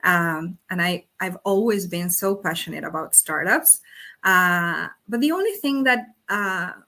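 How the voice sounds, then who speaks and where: A woman's voice: lowish voice, expressive, a little slow, sharp tone. A woman from Argentina whose first language is Spanish, a company executive answering interview questions over a video call, earnest, with many fillers and restarts. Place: Brazil.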